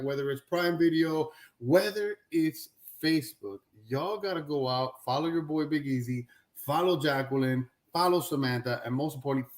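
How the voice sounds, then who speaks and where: A man hosting a live stream with high energy, throwing out hype-style shout-outs. His voice sits a little high for a man. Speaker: host.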